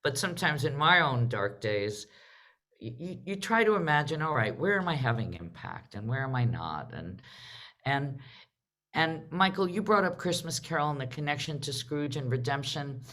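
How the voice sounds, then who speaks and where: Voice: mid-range voice, expressive, moderate pace, pushed and bright. A host moderating a live theatre discussion in a conversational way, prompting others with questions.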